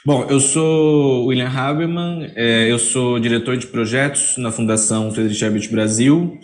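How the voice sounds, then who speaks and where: A man's voice: medium pitch, measured pace. A man with a Brazilian Portuguese accent, a native Portuguese speaker, moderating a conference panel, hesitant and full of restarts. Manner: hesitant, restarts, apologetic about his Spanish. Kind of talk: conference panel opening remarks. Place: conference hall.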